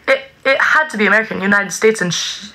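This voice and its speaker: A woman's voice: medium pitch, quick pace, pushed and bright. A young woman hosting a casual phone livestream from a small bedroom, reading comments aloud in a bubbly, joking way. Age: young adult. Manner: bubbly, reads chat aloud, jokey sing-song repeats. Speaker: livestream host. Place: small bedroom with string lights. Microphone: phone held at arm's length.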